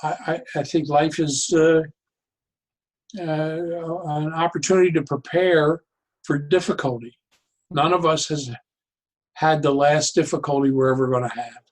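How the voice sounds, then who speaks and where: Older man, higher-pitched voice, halting delivery. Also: fairly even intonation. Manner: halting, deliberate, stops and restarts. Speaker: interview guest, psychologist and humor educator. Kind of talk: reflective interview conversation.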